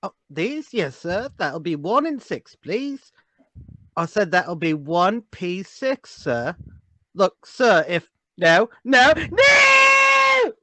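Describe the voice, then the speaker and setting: Someone acting a small-town English shopkeeper woman, unhurried, swooping pitch, deferential, folksy, radio drama. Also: wildly swooping pitch, sharp tone, no echo, shouting, exaggerated voice. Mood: alarmed. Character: Olivia Asher, chatty English small-town woman shopkeeper, easily alarmed. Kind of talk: radio drama table read.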